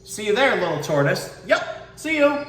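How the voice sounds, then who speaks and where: A brisk storybook animal in a hurry, played by a man, urging and exclamatory. The pitch jumps up high and swoops around in an echoey room. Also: big pitch swings, a bit bright, slight background noise. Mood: breezy. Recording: roomy, distant mic.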